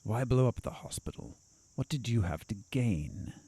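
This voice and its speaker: An actor playing a sardonic asylum doctor in an audio drama, probing and needling in a confrontational way. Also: male voice, medium pitch, animated, moderate pace, warm tone, breathy edge, no echo.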